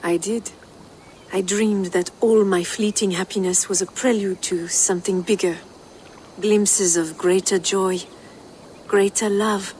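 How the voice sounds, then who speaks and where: A woman's voice: medium pitch, steady tone, measured pace, a little mellow, a little hiss or hum, natural voice. A woman playing a romantic, restless Frankish noblewoman with a French accent in a video game cutscene. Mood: yearning, seductive. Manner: romantic, poetic. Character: passionate, restless Frankish noblewoman yearning for a new life.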